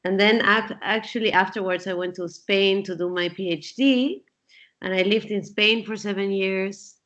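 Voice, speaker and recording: A woman's voice: medium pitch, moderate pace, a bit bright. A woman from Peru in her forties, answering interview questions in a thoughtful way, with frequent fillers and restarts. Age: 40s. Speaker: guest. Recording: dull lo-fi sound.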